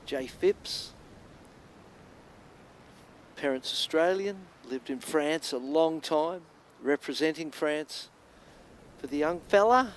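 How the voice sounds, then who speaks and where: Guy doing live surf commentary, kinda high voice, chatty. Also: animated, takes it slowly, pauses often, a little hiss or hum. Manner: chatty, reflective between waves.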